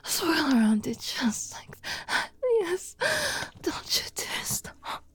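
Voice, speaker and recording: A woman speaking in a soft, breathy whisper in an intimate audio roleplay, pleading and sleepy. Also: high-pitched, lively intonation, takes it slowly.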